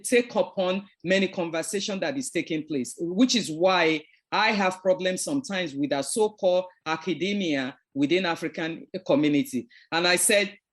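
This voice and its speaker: Podcast host, earnest and opinionated, mid-pitched voice. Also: fairly bright tone. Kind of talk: interview conversation.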